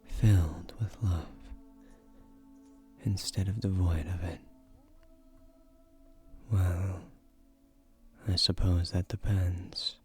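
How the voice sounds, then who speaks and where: A man voicing an ancient, gentle guardian of a magic tree in a romantic fantasy roleplay, speaking softly and breathily in formal, earnest, persuasive words with soft hesitations. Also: sits low. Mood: tender, earnest, then hopeful, coaxing.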